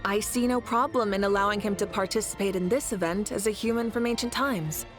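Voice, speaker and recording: A woman's voice: medium pitch, fairly quick, natural voice. Woman voicing a playful, confident ruler. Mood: assured. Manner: warm teasing, laughs easily. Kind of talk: game voice acting. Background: faint game music.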